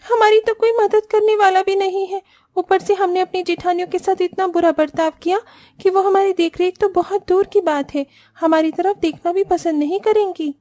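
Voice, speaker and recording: A woman's voice: very high voice, steady tone, normal speed, a little mellow, dry recording, voice pushed up. A woman voicing a haughty, spoiled and showy young rich sister-in-law in a cartoon family story. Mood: sick, regretful. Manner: scornful, complaining, showy.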